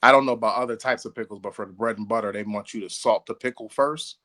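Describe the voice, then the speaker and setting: A man's voice: lowish voice, a bit bright. Guy cohosting a podcast, just chatting casual, jokey, swears a bit, cracks up easy. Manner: playful, profane, quick to crack up. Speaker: podcast co-host. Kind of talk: casual banter.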